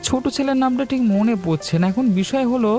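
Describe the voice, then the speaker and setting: A man's voice: extremely high pitch, quick pace, darkish tone, faint music behind. A man voicing an earnest, kindhearted young orphan milk boy in a village cartoon story. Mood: casual, sly. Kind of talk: cartoon voice acting.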